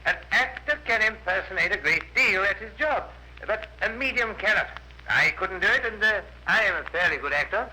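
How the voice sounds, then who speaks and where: A man's voice: high-pitched, expressive, normal speed. An actor playing a cocky, provocative male journalist giving dry, combative evidence in a 1950s radio courtroom drama. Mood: defiant. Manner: dry, combative, deferential titles, hesitant fillers. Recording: noisy vintage 1950s radio recording.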